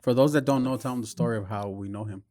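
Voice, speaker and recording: A man's voice: mid-range voice, fast, mellow, dry recording. Casual man hosting a podcast, close dry sound. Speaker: podcast host and tattoo studio owner.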